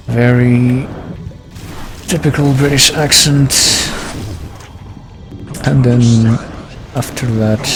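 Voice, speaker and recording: Deep gravelly man rambling over game music. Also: background noise. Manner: rambling, thinking aloud. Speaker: streamer. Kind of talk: gameplay commentary.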